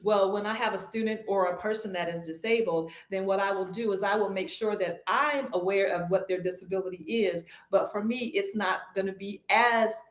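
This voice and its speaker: A woman who teaches swimming, answering interview questions in a calm, reassuring way and repeating her key points. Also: medium pitch, moderate pace, hardly any pauses. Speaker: swim instructor. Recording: echoey room.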